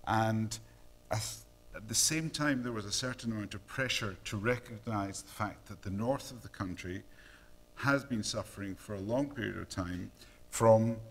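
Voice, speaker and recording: A middle-aged man with a Scottish accent giving an academic lecture, measured, with many ums and uhs. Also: fairly low pitch, slight background noise. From Scotland.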